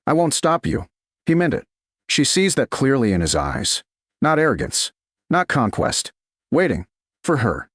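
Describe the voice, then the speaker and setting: A man narrating scripted romance fiction slowly and dramatically, in measured, deliberate phrases. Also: medium pitch, wildly swooping pitch, fairly bright tone, breathy edge, no room sound, clean studio sound.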